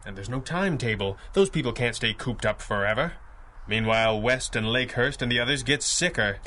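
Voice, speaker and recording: Bright-voiced, commanding masked crime fighter. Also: male voice, mid-range voice, lively intonation. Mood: grim, brooding. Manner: crisp, earnest, formal, deliberate phrasing. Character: masked male crime-fighting hero, brainy, courteous, commanding. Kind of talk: 1930s-style radio superhero drama acting.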